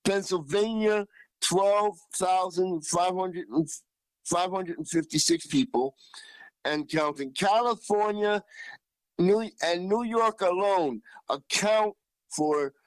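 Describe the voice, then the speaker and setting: A man co-hosting a news show, reporting in a conversational, halting and deliberate way, repeating himself as he reads out figures. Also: high voice, no echo, low-quality recording. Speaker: radio news co-host.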